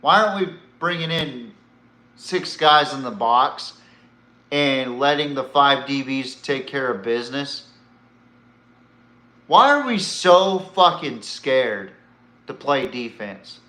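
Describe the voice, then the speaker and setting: A man delivers casual sports commentary as a podcast host in an echoey room. His voice is bright and forceful, and he talks at an unhurried, slow pace. He is blunt, swears, and leans on rhetorical questions to make his points. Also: medium pitch, lively intonation.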